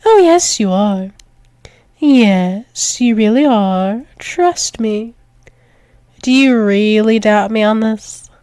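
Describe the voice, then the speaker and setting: Girl doing a possessive alpha werewolf demon lady, asmr roleplay, really slow n teasing, close dry sound. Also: mid-range voice, mellow, clean tone, dry recording, natural voice. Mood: doting, possessive. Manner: teasing, coaxing, slow repeated praise, often dropping to whispers. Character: dominant, possessive, doting female werewolf demon lover.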